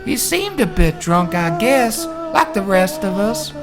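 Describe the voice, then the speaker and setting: A woman's voice: mid-range voice, sing-song, over music. A woman playing a folksy female survivor, recounting a horrific event in a colloquial dramatic reading. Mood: uneasy recollection. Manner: folksy, colloquial, drawn-out storytelling. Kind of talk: dramatic reading of a first-person eyewitness account.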